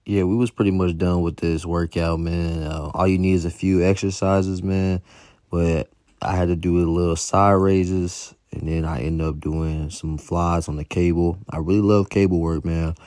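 Young adult American man, very low voice, dry close recording, fitness vlogger, casual slangy encouragement. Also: fairly even intonation, moderate pace, mellow, no echo. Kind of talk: casual motivational voice-over.

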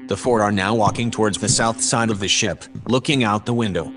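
A calm shipboard observer played by a man narrates a sci-fi audio drama. His voice sits on the low side. He describes everything in measured, matter-of-fact detail. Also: faint music behind, lightly acted. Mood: calm, factual. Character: male narrating observer calmly reporting aboard a spaceship.

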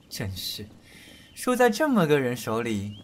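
Woman as a lively, coquettish young lord. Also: rumbling low, takes it slowly, noisy background, exaggerated voice. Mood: scornful, offended. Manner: coquettish, teasing, mocking. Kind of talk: costume radio drama acting.

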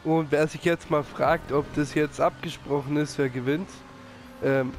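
A man with a cold hosting a casual racing game recording, excitable and quick to yell at mishaps. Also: a little high, faint music behind. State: has a cold. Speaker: gaming channel host. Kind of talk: casual let's-play chat.

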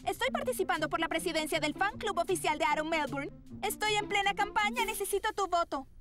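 A woman's voice: very high voice, animated, brisk, music in the background. A woman voicing a vain, demanding young female model in a cartoon dub, haughty and pushy. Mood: demanding, impatient. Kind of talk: animated cartoon dub acting.

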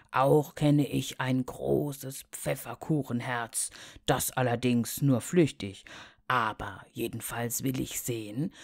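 A woman voicing a small bearded gnome in a fairy tale, solemn, deliberate and self-important. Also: rumbling low, gravelly, a little mellow, no room sound, clean studio sound, pitched below the performer's own voice, heavily acted. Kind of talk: fairy-tale storybook character voice.

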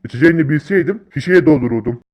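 A man playing a bossy, excitable big-brother schemer in a comic cartoon, with brash, scolding slang. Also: a little high, very fast, lightly acted. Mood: cocky, scheming.

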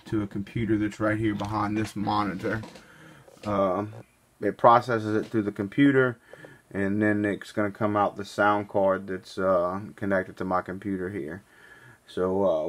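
Flat-toned man doing a casual demo. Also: on the low side. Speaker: amateur radio hobbyist making a demo video. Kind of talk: casual hands-on demonstration. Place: small indoor room at home. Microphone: handheld camera, off-screen behind it. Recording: faint noise and hum.

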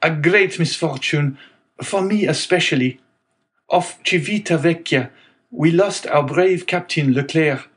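A man reads as a calm young sailor with steady resolve, his voice set clearly higher than the narration. The tone stays earnest and grave, each reply urgent and respectful. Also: a little slow, close to an everyday voice.

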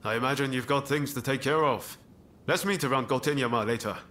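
A man voices an easygoing wandering samurai for a video game. He is laconic and understated, with a medium-pitched voice and a faint bed of ambient noise. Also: a little fast, fairly bright tone, natural voice. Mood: laid-back. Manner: casual, understated. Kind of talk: naturalistic video game voice acting.

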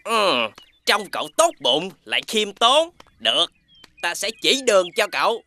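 High, animated dwarf storyteller. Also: male voice, measured pace, heavily acted. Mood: friendly, curious. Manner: expressive storytelling. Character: friendly dwarf guardian of the road, telling an old tale. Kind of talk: animated fairy tale storytelling. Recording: music behind the voice.

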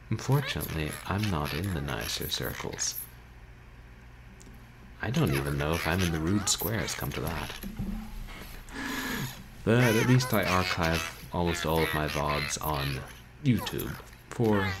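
A man in his thirties chatting casually to livestream viewers as host, wry and self-deprecating, with wordy phrasing. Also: extremely low pitch. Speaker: livestream host, video game streamer. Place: small bedroom, indoors. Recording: noisy home webcam stream.

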